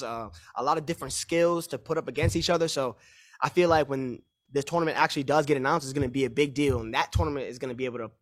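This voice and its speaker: A man in his twenties, a fighter answering press questions on a call, confident and repeating himself in fast run-on answers. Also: medium pitch, a bit bright. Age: 20s. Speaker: mixed martial arts fighter.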